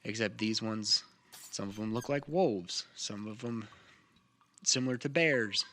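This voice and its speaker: A man narrating a tabletop game as game master, describing scenes in a halting way. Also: lowish voice, bright, forceful tone. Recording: noticeable background noise.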